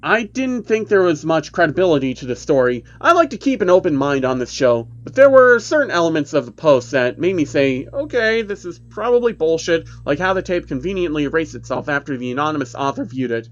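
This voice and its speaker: A man's voice: on the high side, very animated, a little fast, few pauses, highs cut off. A man voicing a chatty male YouTuber who explains creepy cartoon myths emphatically, in online video style. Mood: animated explaining.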